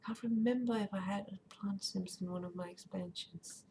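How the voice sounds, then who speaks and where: A woman's voice: mid-range voice, fairly even intonation, moderate pace, mellow. A woman streamer with Danish as her first language, chatting casually and rambling while she plays and reads chat aloud. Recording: faint background noise.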